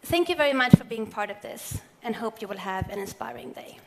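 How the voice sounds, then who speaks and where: A woman's voice: on the high side, lively intonation, very noisy. Woman giving a keynote in a hall. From Sweden. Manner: measured, earnest presenting. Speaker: corporate sustainability speaker. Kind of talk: prepared keynote speech read from notes. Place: large event hall on stage. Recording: long hall reverb.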